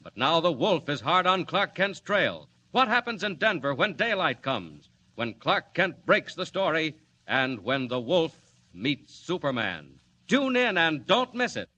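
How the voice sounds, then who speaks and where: A man's voice: on the high side, very animated, unhurried, bright, forceful tone. Dramatic old-time radio announcer, man. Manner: dramatic, emphatic delivery. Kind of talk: 1940s radio serial announcing. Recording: dull lo-fi old radio recording.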